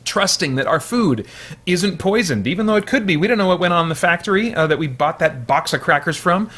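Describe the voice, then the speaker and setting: A man's voice: sits high, lively intonation, fairly quick, pushed and bright. A man hosting a video, giving analytical commentary in a conversational, explanatory way. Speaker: video host.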